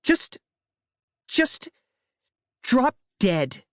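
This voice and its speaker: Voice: medium pitch, sing-song, very slow, dry recording, clean studio sound, voice pushed up. A narrator voicing a bookish, easily frustrated preteen girl with a theatrical, exasperated manner in an audiobook. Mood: annoyed. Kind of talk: acted character dialogue in a children's audiobook.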